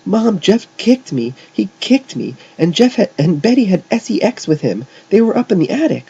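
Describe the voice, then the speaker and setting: Man acting an indignant tattling young girl, high-pitched. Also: big pitch swings, normal speed, vocal fry, dark tone, slightly rough, muffled recording, full character voice. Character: aggrieved young girl tattling loudly to her mother.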